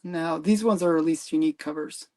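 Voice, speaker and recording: A man's voice: a little high, a little fast, darkish tone. A man hosting a casual livestream chat, talking hesitantly with frequent ums and trailing sentences. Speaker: comic collector host.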